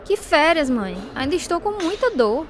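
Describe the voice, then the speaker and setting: A woman's voice: sits high, very animated, normal speed. An actress playing a devout, hurt young daughter who tells her life story earnestly in a Brazilian Portuguese radio drama. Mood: hurt, pleading. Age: young adult. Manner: earnest, heartfelt. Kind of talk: radio drama narration and dialogue. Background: music.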